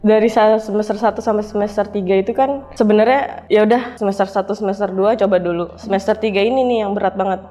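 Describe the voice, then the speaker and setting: A woman's voice: mid-range voice, fairly even intonation, fast talker, mellow. A young adult woman, telling her story informally as a guest in a casual interview. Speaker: podcast guest, cyber security professional.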